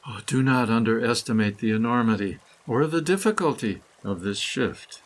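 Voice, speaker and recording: A man reading a scripted, poetic guided meditation in measured, deliberate phrases with pauses. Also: mid-range voice, expressive. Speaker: guided meditation reader, author.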